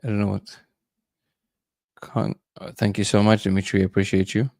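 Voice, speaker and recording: A man's voice: on the low side, little pitch movement, normal speed, gravelly, darkish tone, no echo, clean studio sound. A man hosting a casual livestream, musing loosely and greeting viewers by name. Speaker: livestream host.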